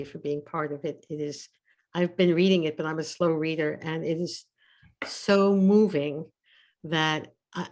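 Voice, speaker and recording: Older woman, low-ish, halting delivery, video call. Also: darkish tone. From Brooklyn, New York. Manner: hesitant, many fillers. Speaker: family therapist. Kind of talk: casual self-introduction.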